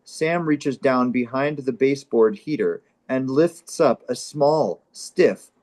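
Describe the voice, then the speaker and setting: A man's voice: medium pitch, slow, a little mellow. A man hosting a comedy table read, narrating stage directions and chatting casually. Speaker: comedy show host and script narrator.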